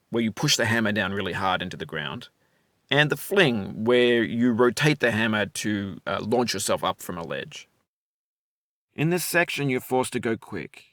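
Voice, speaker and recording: A middle-aged man narrates a game in a calm, reflective, gently wry voice. His pitch moves a lot, rising and falling through each phrase as he recites quotes and rhymes. The sound is close and dry, with no room around it. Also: no echo. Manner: calm, musing, wry; recites quotations and rhyming verse.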